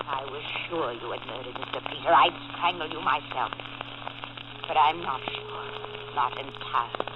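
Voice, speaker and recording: A woman's voice: extremely low pitch, moderate pace. An actress playing a stern, superstitious, domineering older woman with commanding, ominous delivery in 1940s radio melodrama style. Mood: grim, resolute. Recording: muffled, crackly, very noisy 1940s radio.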